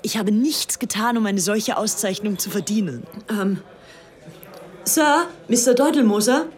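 A woman's voice: medium pitch, measured pace, close to an everyday voice. A woman playing a starstruck, devoted young upper-class Englishwoman in a radio drama, with an earnest, gushing manner. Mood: elated, breathless. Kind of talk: radio drama monologue and dialogue.

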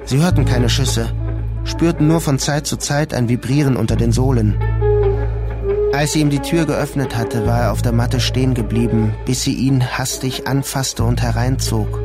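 A man reads literary narration for a radio drama. His voice has a middle pitch. Music is clearly audible underneath his reading.

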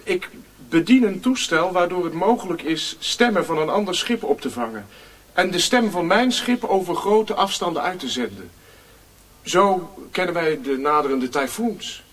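A man's voice: sits high, animated, normal speed, fairly bright tone. A man playing a guarded, disillusioned Western radio operator in a radio play, restrained and serious.

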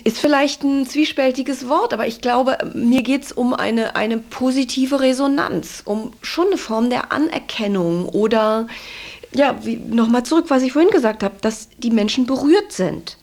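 A woman's voice: a little high, lively intonation, measured pace, a little mellow. A woman answering interview questions reflectively and conversationally, thinking aloud and correcting herself as she goes. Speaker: stage performer being interviewed.

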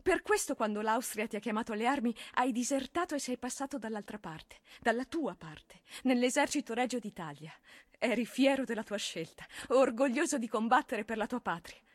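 A woman's voice: a little high, expressive, fairly quick, no room sound. An actress playing a proud, grieving mother of a dead soldier in a theatrical drama. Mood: proud, tender.

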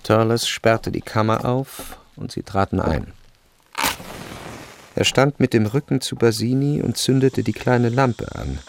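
A man narrating a literary radio drama in a measured, grave and deliberate way. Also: mid-range voice, lively intonation, gravelly, a little hiss or hum.

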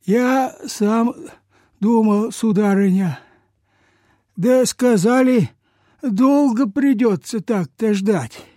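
An actor playing a frail, humble old peasant beggar in a Soviet radio play, halting, deferential and folksy. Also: male voice, high-pitched, mellow, no echo, studio quality. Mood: weak, pleading. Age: older.

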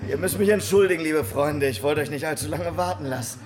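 An actor playing a flamboyant, roguish pimp and street performer in a German radio drama, grand, theatrical and amused. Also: male voice, a little high, a little fast, noisy background, natural voice. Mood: jovial, welcoming. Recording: music under the voice. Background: music.

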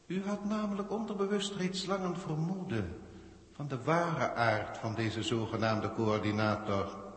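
An actor playing a pompous, condescending alien proctor of a galactic council in formal science-fiction radio drama style. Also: male voice, medium pitch, natural voice. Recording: echoey hall-like reverb, vintage radio recording.

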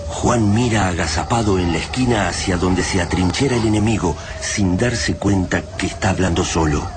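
Low-voiced male narrator over loud noise. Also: normal speed. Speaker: radio drama narrator. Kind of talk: dramatic scripted narration.

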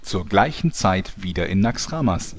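An actor playing the storyteller who gives scripted, epic narration in a comic radio play. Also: male voice, deep voice, lively intonation, normal speed, dry recording. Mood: announcing.

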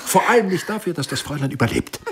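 An actor playing a proud, embattled eighteenth-century physician in a radio drama, stern and formal, with a husky voice. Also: male voice, a little high, animated, fast, fairly bright tone. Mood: stern warning.

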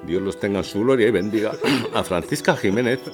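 An actor as low-voiced grieving man. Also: brisk, lightly acted. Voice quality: broken, pained voice. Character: grieving male neighbour reading 1918 news aloud. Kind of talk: dramatic radio fiction monologue. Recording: music behind the voice.

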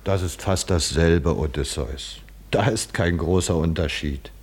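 An actor playing a stern, mocking mentor in a 1950s German radio play, husky and insistent in his admonishing. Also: sits low, unhurried, darkish tone, exaggerated voice. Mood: probing, disdainful.

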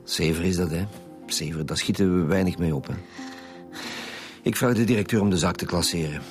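A man's voice: deep voice, a little fast, faint music behind, lightly acted. A man playing a blunt, impatient police commissioner with a Flemish accent in a radio drama. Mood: brusque, decisive. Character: gruff, insistent male police commissioner leading homicide detectives. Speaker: actor in a radio detective serial.

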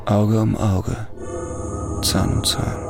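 Embittered ex-convict, radio play inner monologue, creaky voice, solemn, low, music bed. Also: male voice, flat delivery, extremely slow, exaggerated voice. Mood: grimly vengeful. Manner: solemn, brooding. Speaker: actor. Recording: music under the voice.

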